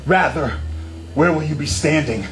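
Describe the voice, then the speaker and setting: A man's voice: a little high, measured pace, fairly bright tone. A man performing spoken-word poetry live on stage as a mock political address, declamatory, impassioned and emphatic. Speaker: slam poet. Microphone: stage microphone.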